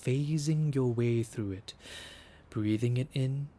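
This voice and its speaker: A man acting as a solemn guide in an audio drama, giving deliberate, hushed, poetic instructions. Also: mid-range voice, a little hiss or hum, close to an everyday voice. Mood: hushed, contemplative. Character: solemn male guide instructing an archival recorder in ruins. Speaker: narrator guide. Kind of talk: scripted audio drama narration.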